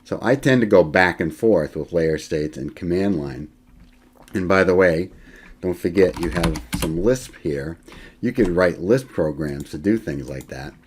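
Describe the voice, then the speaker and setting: A male college instructor giving a screen-recorded software lecture, talking in a relaxed way as he demonstrates and thinks aloud, with fillers and self-corrections. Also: low-pitched, measured pace, a little hiss or hum. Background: keyboard typing.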